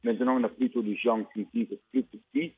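A man's voice: medium pitch, huge pitch swings, creaky, slightly rough, no room sound. Older dutch guy, farmer, blunt and emphatic, lots of euh, tinny dry phone sound. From Netherlands. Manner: plain-spoken, emphatic, pauses with fillers. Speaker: fruit farmer. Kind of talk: radio interview. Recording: tinny phone-like radio line.

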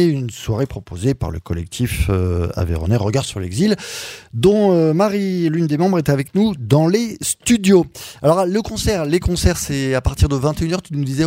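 Mellow-voiced radio host. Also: male voice, mid-range voice, no echo, clean studio sound. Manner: hesitant, many fillers, friendly. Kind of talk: radio interview. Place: radio studio.

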